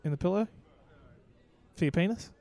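A man's voice: sits high, moderate pace, warm tone. A man co-hosting a podcast in casual banter, blunt and teasing, swearing freely. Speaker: podcast co-host.

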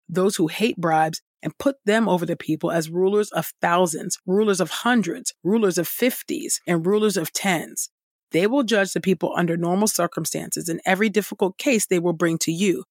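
A woman reading scripture and praying as a devotional host, with measured, reverent phrasing. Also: on the low side, no echo, studio quality. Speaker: devotional podcast host and Bible reader.

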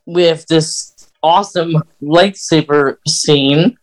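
A man with a voice a bit higher than most. He talks in a casual, conversational way, as the host of a podcast. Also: slow pace, poor audio. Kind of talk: casual chat.

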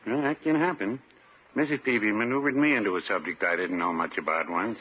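An actor playing a mild, dry-witted pharmacist, deadpan and unhurried, in a 1950s radio comedy. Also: male voice, fairly low pitch, lightly acted. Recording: noisy, muffled 1950s radio recording.

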